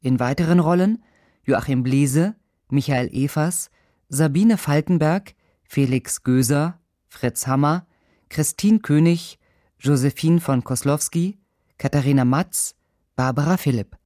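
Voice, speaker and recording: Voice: mid-range voice, a little slow, dark tone, dry recording, studio quality. An announcer reading scripted credits for a radio drama.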